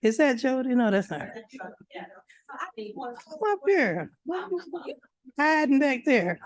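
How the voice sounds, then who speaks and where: A woman's voice: high-pitched, lively intonation, slow pace, no echo. A woman chairing a legislative committee hearing, informal and chatty, calling up speakers with offhand asides.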